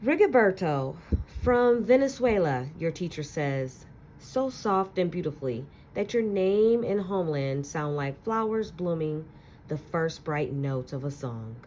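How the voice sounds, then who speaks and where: A woman teacher reading a children's picture book aloud with gentle, expressive storytelling and soft asides to young listeners. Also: low voice, a little slow, a little hiss or hum, low-quality recording.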